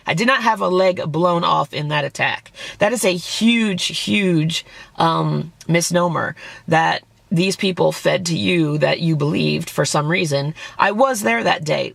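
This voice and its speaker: A woman vlogger, hoarse and losing her voice, bluntly and indignantly defending herself while reacting to a critic's video. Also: fairly low pitch, a bit bright, no echo. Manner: indignant, blunt, swears when angry.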